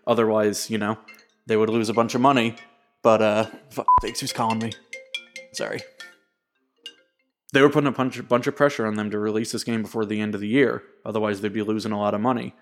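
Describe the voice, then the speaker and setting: A man's voice: lowish voice, level tone, a little fast, soft background music. A man co-hosting a podcast, talking loosely and swearing freely. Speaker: podcast co-host. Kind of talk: casual podcast chat.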